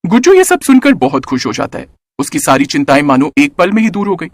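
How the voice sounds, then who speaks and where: Lively high-pitched male storyteller. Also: a little fast, dry recording. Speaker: narrator. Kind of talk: animated scripted children's story narration.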